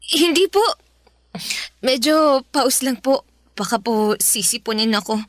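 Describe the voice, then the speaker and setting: A woman's voice: high-pitched, expressive, a little slow, dry recording. A woman playing a dutiful, hurt young student daughter in a Filipino radio drama. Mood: meek, reassuring.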